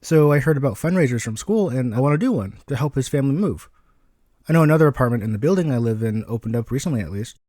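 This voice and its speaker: A man's voice: medium pitch, expressive, quick pace, dark tone, no echo, close to an everyday voice. A man voicing a kind, eager young boy in a story, speaking politely and earnestly. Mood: earnest, pleading.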